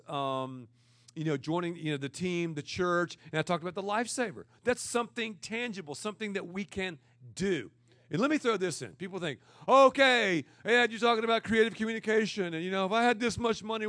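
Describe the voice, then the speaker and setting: A pastor with a naturally loud voice who teaches with energy and repeats words for emphasis. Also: male voice, high voice, dry recording. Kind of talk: energetic teaching talk to church leaders.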